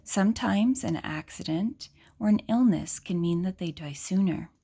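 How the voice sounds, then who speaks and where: A woman reads a children's picture book aloud in a gentle, explanatory way, asking questions and adding asides for young listeners. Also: fairly low pitch, normal speed, vocal fry, slightly rough.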